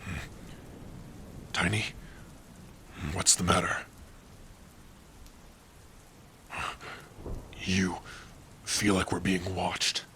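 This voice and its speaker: A man playing a gruff but tender, shyly smitten orc in an ASMR roleplay, soft-spoken and hesitant, with pauses and hums. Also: low voice, level tone, a little hiss or hum, heavily acted. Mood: watchful, concerned. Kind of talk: ASMR roleplay addressed to the listener.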